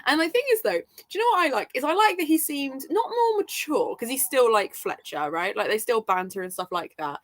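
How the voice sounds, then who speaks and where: A woman chatting casually and emphatically about books in an informal, animated way, often checking in with the listener. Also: sits high, a little fast, hardly any pauses. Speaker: book readalong panellist.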